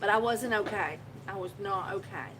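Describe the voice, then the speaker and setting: A woman's voice: mid-range voice, moderate pace, a bit bright, a little hiss or hum. A woman, hosting a casual live video from her own shop and telling a rambling story with frequent laughter. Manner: rambling, chatty storyteller who laughs often. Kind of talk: casual live-stream chat. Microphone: phone live video.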